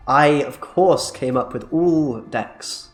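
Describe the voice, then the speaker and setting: A man's voice: medium pitch, slow pace, soft background music. A young man streaming a card game, chatting casually and joking as he reacts aloud to each play. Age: young adult. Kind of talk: casual gameplay commentary. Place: small room indoors.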